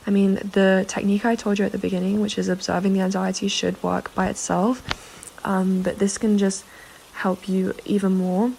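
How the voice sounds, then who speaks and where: A woman's voice: mid-range voice, level tone, normal speed, gravelly, a little mellow, slight background noise, poor audio. A young woman vlogger, slightly under the weather, giving earnest, reassuring advice to camera with frequent fillers. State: slightly sick. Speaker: self-help vlogger. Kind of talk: casual advice talk to camera.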